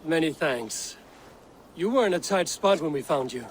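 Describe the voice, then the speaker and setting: A man's voice: fairly high pitch, expressive, moderate pace, slight background noise, close to an everyday voice, low-quality recording. A man playing a loyal Viking raider hero in a video game, composed and terse in delivery. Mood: steady. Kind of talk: dramatic video game acting.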